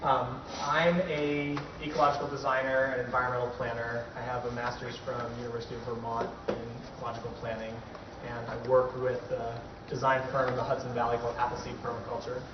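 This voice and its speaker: A man's voice: mid-range voice, flat delivery, fast talker. Man presenting informally, echoey distant recording. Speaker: workshop presenter, ecological designer. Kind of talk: informal workshop talk. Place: room with a workshop group, recorded from a distance. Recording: distant, echoey, muffled room recording with clear noise.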